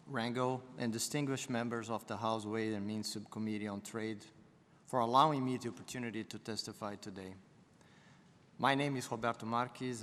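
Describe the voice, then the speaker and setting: A man's voice: fairly low pitch, fairly bright tone. A middle-aged man with a Brazilian Portuguese accent, a native Portuguese speaker, giving formal prepared testimony as a business executive at a congressional hearing, with a few restarts. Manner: formal, reads a statement with some restarts. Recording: long hall reverb.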